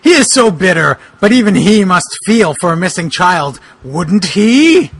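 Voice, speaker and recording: A man's voice: high-pitched, sing-song, a little slow, husky, exaggerated voice. A man voicing an earnest, resolute bogeyman in a comic amateur reading, declaiming his lines with emphasis. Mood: pondering. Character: earnest, resolute wrestler bogeyman vowing to find missing children. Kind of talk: amateur comic dramatic reading of fan fiction.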